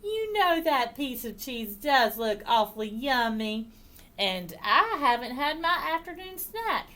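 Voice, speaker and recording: A woman's voice: high-pitched, big pitch swings, a little slow, bright, forceful tone, voice pushed up, full character voice. A woman voicing an eager, blabbering little girl in a storybook, singsong and scolding. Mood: tempted.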